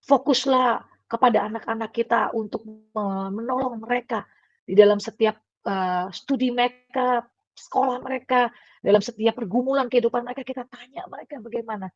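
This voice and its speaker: A woman's voice: fairly high pitch, moderate pace. A middle-aged woman giving a casual devotional sermon in a conversational way, with fillers and tag questions, coughing now and then. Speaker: devotional speaker. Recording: dull, dry, lo-fi recording.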